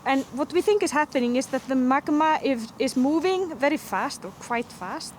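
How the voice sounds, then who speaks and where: A woman explaining volcanic activity carefully, pausing often with um. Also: high-pitched, animated, slight background noise. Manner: careful, halting, pauses with um. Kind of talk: explanatory interview answer.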